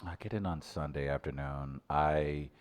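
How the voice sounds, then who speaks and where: A man co-hosting a podcast, trading jokes in casual comedic banter with a deadpan edge. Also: rumbling low, normal speed, clear, resonant tone. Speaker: podcast co-host.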